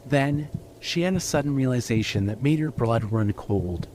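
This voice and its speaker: A man narrating a scripted audio drama as its storyteller, reading in a measured way. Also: medium pitch, animated, background noise. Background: music.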